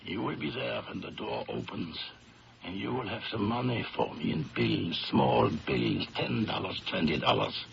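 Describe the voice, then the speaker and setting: A gravelly, menacing blackmailer. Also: male voice, fairly low pitch, animated. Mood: cold menace. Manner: stilted foreign phrasing, oily politeness, deliberate. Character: menacing male blackmailer, falsely polite and coolly insistent. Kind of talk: 1970s radio drama acting. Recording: noisy, dull old radio broadcast recording.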